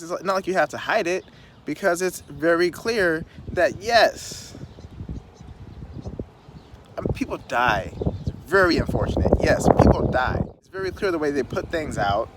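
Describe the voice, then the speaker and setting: A man in his thirties talking casually outdoors on a city curb, rambling and conversational with animated asides. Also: fairly high pitch. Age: 30s. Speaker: vlogger. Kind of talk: casual outdoor vlog monologue. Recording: faint street noise, wind on mic. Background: wind and passing traffic.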